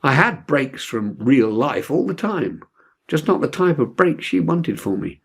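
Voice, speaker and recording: A man reading a novel aloud as dramatic literary narration, expressive and measured. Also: mid-range voice, gravelly, slightly rough. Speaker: audiobook reader.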